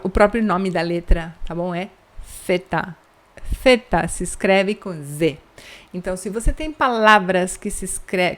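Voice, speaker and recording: Brazilian woman, young, casual online teacher, keeps checking in, drawls out example words. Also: medium pitch. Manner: chatty, checks in with "tá bom?" after points; slows down to spell out example words. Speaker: Spanish teacher. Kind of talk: online language lesson.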